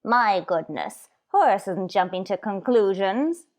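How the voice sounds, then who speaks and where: A woman's voice: medium pitch, animated, measured pace, close to an everyday voice. A woman playing a coy, lovestruck elderly landlady in an old-time radio sitcom, prim and protesting. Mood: coy denial.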